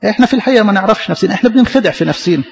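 A high-voiced man with an Egyptian accent delivers a quick sermon, throwing out repeated questions to the congregation. The recording is muffled and rings with the long reverb of a big hall. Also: expressive, creaky. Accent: Egyptian Arabic. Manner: questioning, repetitive. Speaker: preacher.

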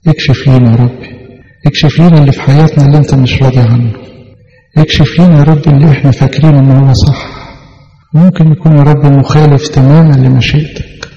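Gravelly Egyptian man praying fervently. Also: medium pitch, fairly even intonation, fast talker, dark tone. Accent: Egyptian Arabic. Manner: earnest pleading, repeating "O Lord" often. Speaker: prayer leader. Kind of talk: fervent extemporaneous prayer. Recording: long hall reverb, dull lo-fi sound.

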